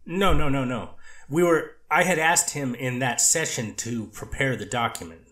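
A man's voice: medium pitch, a bit bright. Guarded male counselor testifying, echoey room. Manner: measured, guarded, speaks in institutional terms. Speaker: treatment counselor testifying as witness. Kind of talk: courtroom testimony. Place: courtroom. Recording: echoey courtroom audio.

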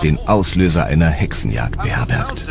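Low voiced guy narrating a history doc, measured and serious, music under it. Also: big pitch swings, creaky. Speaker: documentary narrator. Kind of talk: scripted narration, historical documentary.

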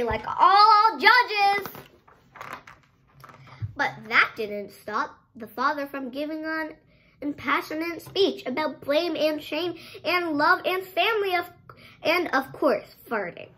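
A young girl reading a story aloud with dramatic, drawn-out emphasis, sometimes stumbling over words. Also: high-pitched, lively intonation, pushed and bright, low-quality recording. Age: child, around 8 to 10. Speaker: child presenter reading a picture book aloud. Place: bedroom, indoors.

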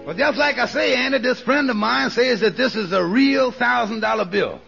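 An earnest, sensible friend in a vintage radio comedy. His folksy, pleading voice is high and extremely gravelly. The old broadcast has a dull sound. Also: normal speed, music in the background, exaggerated voice. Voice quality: gravelly, husky. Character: Amos, earnest, honest, sensible male friend. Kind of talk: 1940s radio comedy acting.

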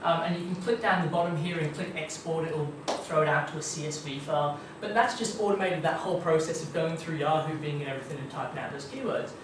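A man's voice: fairly high pitch, fairly even intonation, quick pace, hardly any pauses. Australian man presenting in an echoey room. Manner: chatty, many ums and kind-ofs. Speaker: guest speaker at a marketing meetup. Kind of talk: casual tech presentation. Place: dim meeting room with projector screen. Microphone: far room camera. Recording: echoey room, distant camera, noisy.

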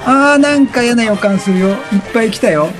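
A man with a high-pitched voice gives a running game commentary. He is chatty and reactive, swinging widely in pitch and firing off repeated exclamations. Game music plays behind him. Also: expressive, brisk. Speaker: gaming streamer. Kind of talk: excitable let's-play commentary, reading game text aloud. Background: video game music.

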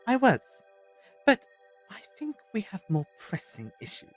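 An actor playing a quirky, teasing time-travelling scientist, playful but assertive, in an audio drama. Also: male voice, high voice, huge pitch swings, a little slow, faint music behind, full character voice.

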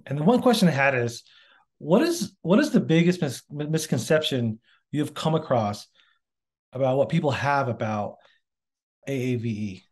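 A man's voice: mid-range voice, moderate pace. A young man interviews a guest over a webcam from his home office. His voice rises and falls a lot as he asks eager, curious questions. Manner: lively, inquisitive questioning. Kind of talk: video call interview. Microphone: video call webcam.

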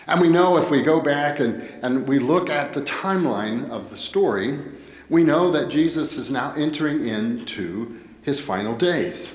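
A middle-aged American pastor preaches in a big reverberant church. His voice sits a little high for a man. He delivers his sermon deliberately, phrase by phrase, pausing to reflect. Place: church sanctuary, at a wooden pulpit. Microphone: headset. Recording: echoey church hall with long reverb.

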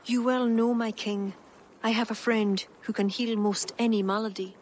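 An actress with an Irish accent playing a devout, steadfast young pagan court poet in a dramatic game cutscene. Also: female voice, fairly high pitch, fairly even intonation, moderate pace, slight background noise, natural voice. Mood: earnest, urgent. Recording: loud background music under dialogue.

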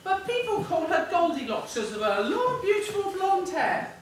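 Woman as high-voiced pantomime dame Hyacinth, echoey stage. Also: sing-song, moderate pace. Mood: chatty, proud. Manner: declaiming to the audience. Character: Dame Hyacinth, proud chatty pantomime mother and cleaner. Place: theatre stage, far camera. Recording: echoey theatre stage from far off, noisy.

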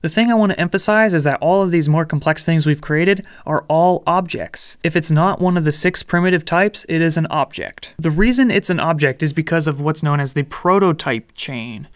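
Smooth-voiced young man teaching code. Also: on the high side, moderate pace, few pauses, no echo, clean studio sound. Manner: relaxed, explanatory, light jokes. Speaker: programming instructor. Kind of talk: screen-recorded coding tutorial.

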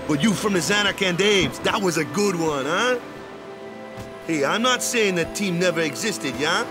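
Easygoing team captain for a game, high-ish lively voice, music behind. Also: male voice, moderate pace. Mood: teasing. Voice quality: casual, clipped phrasing with tag questions. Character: friendly brawny male island ball-team captain, easygoing, devout. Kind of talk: video game cutscene dialogue.

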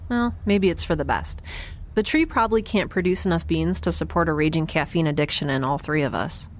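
A woman's voice: deep voice, brisk, natural voice. A woman playing a chatty, self-doubting young spacefarer in an audio drama, with a casual, hesitant delivery. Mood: wry, chatty. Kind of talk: naturalistic audio drama acting. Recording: faint background noise.

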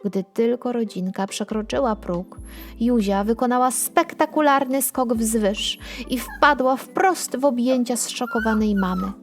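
A woman narrating a scripted bedtime story for children in an expressive, unhurried storytelling manner. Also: on the high side, soft background music. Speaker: storyteller reading a children's audio tale.

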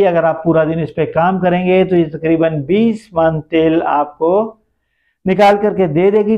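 A middle-aged man with a Pakistani accent speaks as a video host, explaining an idea step by step to his viewers. His voice sits high for a man. The recording is dull and lo-fi, made indoors. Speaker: YouTube host. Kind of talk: explanatory business-idea vlog addressed to viewers. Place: small plain indoor room.

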